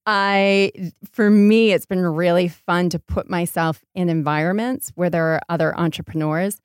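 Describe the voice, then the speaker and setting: A woman's voice: fairly low pitch, steady tone, moderate pace, clean tone, dry recording, clean studio sound. An American woman giving reflective, conversational interview answers, making her points with emphasis. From Maine, United States. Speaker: podcast guest, startup entrepreneur.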